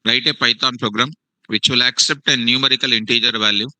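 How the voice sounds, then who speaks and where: A male programming teacher, giving a live lecture to students in a classroom and online, repeating phrases slowly for dictation. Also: mid-range voice, fairly even intonation, pushed and bright, no room sound, poor audio. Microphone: online meeting.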